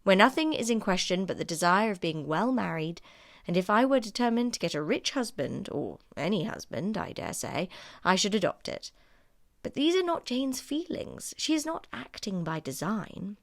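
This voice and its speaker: A woman's voice: mid-range voice, very animated, normal speed, no room sound, studio quality. Woman acting young female heroine, principled, spirited, firm and wry, storybook dialogue. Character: Elizabeth, spirited, principled young woman defending her sister. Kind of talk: audiobook character dialogue.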